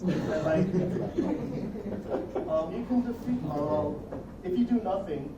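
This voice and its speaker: A man's voice: high-pitched, expressive, slow, lo-fi sound. A young man giving a casual, rambling, jokey student demo of his project. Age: young adult. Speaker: student presenter. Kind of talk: informal project demo presentation. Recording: echoey noisy room, distant microphone.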